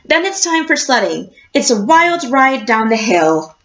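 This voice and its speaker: A woman reading a picture book aloud like a teacher, expressive and encouraging, addressing young children. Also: on the high side, measured pace, dull audio. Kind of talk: storybook read-aloud with questions to a class.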